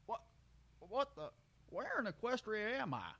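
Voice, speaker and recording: Man putting on a high-pitched, folksy, bewildered pony. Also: sing-song, unhurried, pushed and bright, exaggerated voice. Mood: friendly, confused. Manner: folksy country talk, stammering. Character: confused, innocent, kindly young male cartoon pony, folksy.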